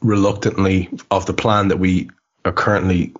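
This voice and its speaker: A man's voice: low voice, little pitch movement, moderate pace, lo-fi sound. A middle-aged man hosting a podcast in casual chat, with a wordy, colourful way of talking. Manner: wordy, colourful turns of phrase. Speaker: podcast host.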